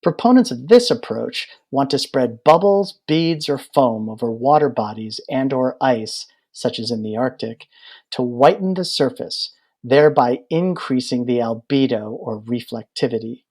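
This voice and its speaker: A man narrates an audiobook from a prepared text. His voice has a medium pitch, and he explains the material in a measured way. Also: expressive, a little mellow.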